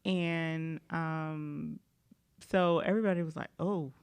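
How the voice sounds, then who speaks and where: A woman's voice: low voice, fairly even intonation, slow pace, clean tone. A woman co-hosting a podcast, chatting casually in a laid-back, rambling way and laughing often. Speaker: podcast co-host. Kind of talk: casual chat.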